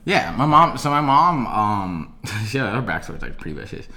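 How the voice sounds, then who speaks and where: A man's voice: medium pitch, big pitch swings, normal speed, pushed and bright, reverberant. A young man from Tanzania, a podcast guest talking casually, full of "like" and swearing easily. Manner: casual, filler-heavy, swears and laughs easily. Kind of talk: casual interview.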